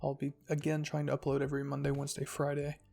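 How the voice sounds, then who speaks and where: A narrator with a husky, creaky voice reading fan fiction in a soft scripted storytelling style. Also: mid-range voice, completely flat delivery, fast talker. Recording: music behind the voice.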